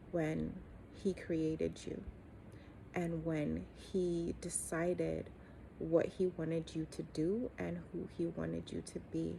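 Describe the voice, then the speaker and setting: A middle-aged woman giving an earnest, encouraging, faith-based motivational talk, speaking deliberately with frequent pauses. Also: low voice, creaky, mellow, a little hiss or hum, poor audio. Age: 40s or 50s. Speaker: coach and host giving encouragement.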